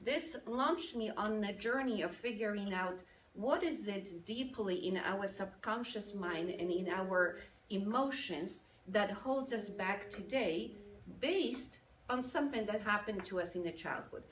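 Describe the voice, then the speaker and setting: A woman with a Polish accent speaks in an engaging, lively way. Her voice carries through an echoey room, and she sounds some distance from the mic. A faint hum of ambience sits underneath. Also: medium pitch, measured pace. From Poland. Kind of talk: motivational business talk. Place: event room with audience.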